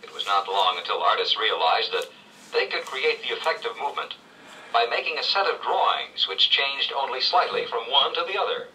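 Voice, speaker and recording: A man reading scripted 1970s educational narration with measured, clear storytelling phrasing. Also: medium pitch, expressive, bright, forceful tone, recorded from a distance, low-quality recording. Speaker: read-along narrator. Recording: old read-along played back from afar, faint noise.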